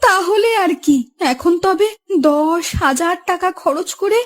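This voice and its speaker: A woman's voice: very high voice, slow. A woman voicing an anxious, nagging village wife in a cartoon, with an urgent, exclamatory delivery. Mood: agitated. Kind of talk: cartoon voice acting.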